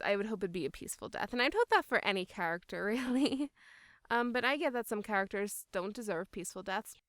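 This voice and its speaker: A woman's voice: mid-range voice, fairly bright tone, no room sound. Young woman, enthusiastic and emphatic, dry close sound. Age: young adult. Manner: enthusiastic, emphatic, laughing as she talks. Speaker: voice actor interviewee. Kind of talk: casual interview chat.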